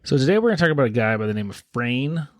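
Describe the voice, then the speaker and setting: A man co-hosting a podcast in an easy, joking way. His voice sits at an ordinary middle pitch, and he slows down to build suspense as he tells the story. It is recorded close and dry, with no room sound. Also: very animated, dry recording. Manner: wry, teasing, builds up the story dramatically. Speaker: podcast co-host. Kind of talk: casual chat, storytelling.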